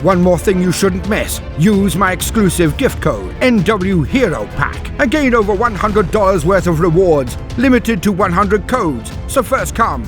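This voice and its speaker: Male host-narrator, animated range, slightly rough voice, grand formal wording, faint music underneath. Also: high-pitched, unhurried, hardly any pauses. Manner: formal, theatrical phrasing. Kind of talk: scripted narration.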